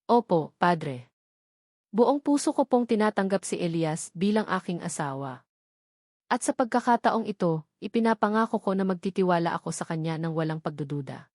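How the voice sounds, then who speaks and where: A woman with a Filipino accent voicing a loving, sincere bride in a narrated romance, heartfelt, tender and deliberate. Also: fairly low pitch, animated, a little mellow, no room sound, clean studio sound. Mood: solemn, sincere.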